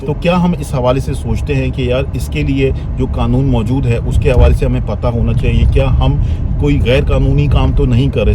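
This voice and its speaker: A man with a Pakistani accent giving an earnest opinion monologue to viewers, often posing rhetorical questions. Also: mid-range voice. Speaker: vlogger. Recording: loud outdoor street and traffic noise.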